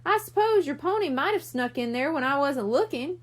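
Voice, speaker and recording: A woman's voice: high-pitched, expressive, fairly quick. A woman reading the part of a tough, skeptical older stable manager in a children's storybook. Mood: brusque.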